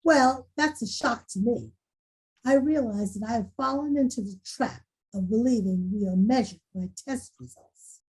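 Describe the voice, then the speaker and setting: A woman's voice: a little high, moderate pace, a little mellow, slightly rough, rough recording. A woman playing an earnest, determined school principal making a rousing speech in a screenplay table read. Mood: reflective, candid. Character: earnest, determined female school principal rallying staff and students.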